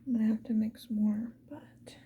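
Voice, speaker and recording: A woman's voice: fairly high pitch, fairly even intonation, normal speed, phone-quality sound, a little hiss or hum. A woman whispering breathily in a casual, rambling ASMR style, unhurriedly thinking aloud. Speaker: ASMR video creator.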